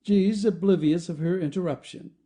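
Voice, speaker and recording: A man's voice: sits high, moderate pace, mellow. A man reading stage directions as scripted narration in an audio play.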